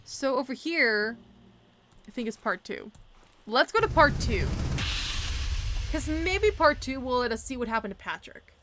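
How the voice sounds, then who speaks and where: A woman's voice: high voice, expressive, a little slow, long pauses, a bit bright, slight background noise. A young woman casually commenting as she plays a mystery game, thinking aloud and working through her guesses. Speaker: let's play streamer.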